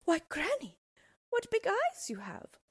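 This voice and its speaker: A woman's voice: very high-pitched, wildly swooping pitch, takes it slowly, voice pushed up, exaggerated voice. A woman reading aloud and voicing a trusting, curious little girl who exclaims in wonder.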